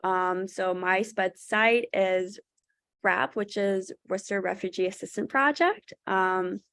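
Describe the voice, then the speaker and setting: A woman's voice: mid-range voice, slow, a bit bright, no echo, studio quality. A young woman from Thailand, a student panelist on a webinar, talking earnestly with many fillers and restarts. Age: young adult. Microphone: video call.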